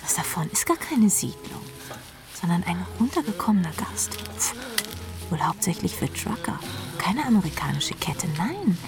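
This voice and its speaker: A woman's voice: mid-range voice, sing-song, moderate pace, music in the background. An actress playing a dreamy, sardonic rock drummer narrating her thoughts in a radio drama, dry and intimate, often half-whispering. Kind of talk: radio drama inner monologue.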